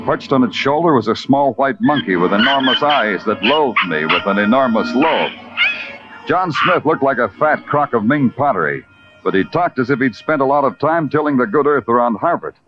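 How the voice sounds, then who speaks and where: An actor playing a hard-boiled American police detective, sardonic and terse, in 1950s radio crime drama style. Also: male voice, medium pitch, expressive, moderate pace, close to an everyday voice. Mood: wry narration. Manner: sardonic, terse, florid noir phrasing. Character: wry, hard-boiled big-city police detective narrating his case. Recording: muffled old radio recording with music.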